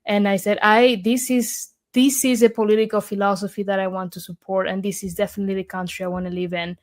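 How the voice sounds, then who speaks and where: A woman's voice: mid-range voice, flat delivery, fast talker. A young woman from Argentina, a guest in an interview, speaking earnestly and from the heart, with many fillers and restarts. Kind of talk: conversational interview.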